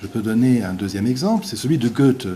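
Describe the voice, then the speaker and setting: Halting, mellow-voiced male lecturer. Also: mid-range voice, lively intonation, slight background noise. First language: Portuguese. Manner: halting, many restarts and filler sounds. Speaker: visiting university lecturer. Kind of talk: academic lecture. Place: university lecture room.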